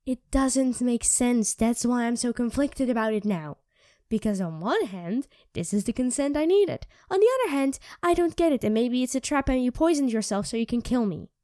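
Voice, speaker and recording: A woman voicing a sardonic, teasing female vampire who mocks and coaxes in an ASMR roleplay, dropping into soft whispers, close to the mic. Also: a little high, dry recording. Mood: conflicted.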